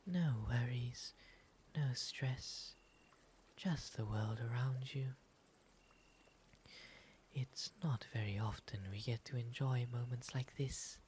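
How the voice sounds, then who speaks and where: A man voicing a gentle, courteous owl demon gentleman in an ASMR roleplay, breathy and often whispering, with polite, formal, soothing phrasing. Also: mid-range voice, close to an everyday voice. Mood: serene, soothing. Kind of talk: ASMR roleplay, intimate slow delivery. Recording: faint steady background ambience noise.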